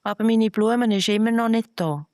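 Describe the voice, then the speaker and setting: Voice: medium pitch, brisk, a little mellow, dry recording, clean studio sound, voice pushed up, natural voice. A storyteller voicing a little star girl who is impatient and curious, with a childlike, questioning, slightly huffy way of talking. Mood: puzzled, protesting.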